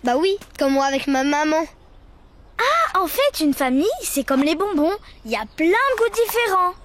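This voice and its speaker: High chirpy cartoon little girl. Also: big pitch swings, moderate pace, noisy background. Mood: eager, earnest. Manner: childlike, naive, earnest.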